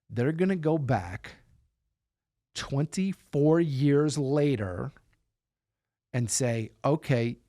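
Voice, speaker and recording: A man's voice: medium pitch, a little mellow, no echo, clean studio sound. A man telling a personal story in conversational podcast style, with an emphatic, incredulous manner. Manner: emphatic, incredulous, repeats words for stress. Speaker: podcast host telling his own story.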